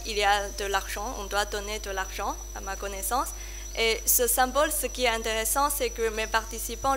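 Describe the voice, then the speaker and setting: A young woman, a doctoral researcher whose first language is Chinese, answering questions hesitantly with many pauses. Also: mid-range voice, a bit bright, slight background noise, poor audio. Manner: hesitant, many fillers and restarts. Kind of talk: conference answers.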